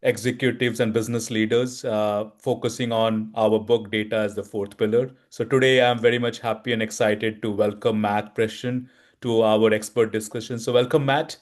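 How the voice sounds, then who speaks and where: A man's voice: fairly low pitch, little pitch movement, normal speed. Polite man hosting a webcam interview. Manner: polite, formal questions with many "uh" fillers. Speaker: interview host, book co-author. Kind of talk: conversational video-call interview. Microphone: video call, webcam.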